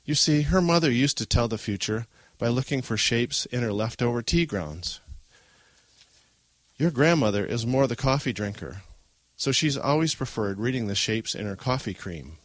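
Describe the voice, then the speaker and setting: A man's voice: medium pitch, lively intonation, normal speed, dry recording, close to an everyday voice. A man voicing a practical, no-nonsense middle-aged mother in an audiobook, firm and matter-of-fact. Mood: patient. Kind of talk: audiobook character voice.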